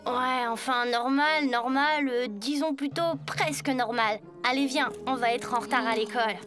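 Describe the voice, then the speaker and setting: A woman's voice: sits high, moderate pace, music in the background, close to an everyday voice. A woman voicing a spirited, easygoing schoolboy hero in a cartoon. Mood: casual, wry. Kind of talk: French animated cartoon dubbing.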